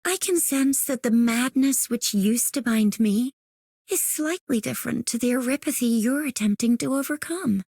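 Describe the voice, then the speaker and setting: A woman voicing a composed, cryptic scholarly woman in a game, speaking in a measured, formal way. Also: on the high side, vocal fry, a little mellow, dry recording, clean studio sound. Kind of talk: game character voice line.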